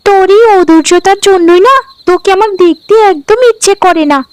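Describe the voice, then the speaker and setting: Woman playing a high-pitched scheming young sister-in-law. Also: fairly quick, dry recording. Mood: scheming, impatient. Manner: sneering, menacing, taunting. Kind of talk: Bengali fairy-tale cartoon dubbing. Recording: background music under the voice.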